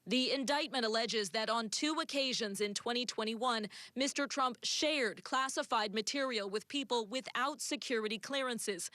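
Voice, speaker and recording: An American woman delivers a scripted news report in a formal style. Her voice is fairly high and very bright, with a forceful edge. The recording is very dry. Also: dry recording. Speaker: TV news correspondent.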